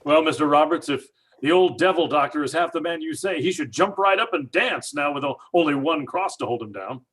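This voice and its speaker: A man's voice: a little high, a little fast, noisy background, lightly acted. An actor playing a skeptical father in an old-time radio drama, brash and dismissive, with lots of stammered fillers. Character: blustering skeptical father, middle-aged house owner.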